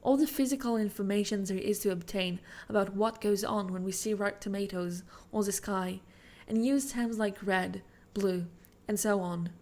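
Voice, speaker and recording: A woman's voice: medium pitch, moderate pace, a little mellow. A woman reading a written passage aloud in a formal style. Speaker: reader of a quoted passage.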